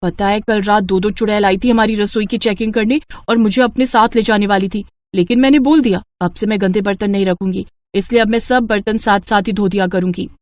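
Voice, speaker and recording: A woman's voice: mid-range voice, steady tone, fairly quick, few pauses, a little mellow, dry recording, close to an everyday voice. A woman voicing a naive, obedient young daughter-in-law, earnest and anxious, in a family moral story.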